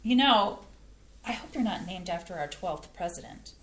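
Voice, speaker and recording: A woman's voice: lowish voice, expressive, moderate pace, fairly bright tone. A woman reading the part of a chatty hostess in a staged play reading. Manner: chatty and gushing. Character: chatty, fussy woman hosting guests. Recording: roomy stage sound.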